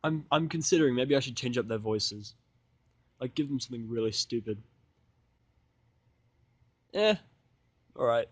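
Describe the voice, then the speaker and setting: A man with an average-pitched voice narrates a first-person tale. His reading is casual and sardonic, like a hobbyist audiobook. The recording is dry, with little room sound. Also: animated, normal speed, long pauses, dry recording.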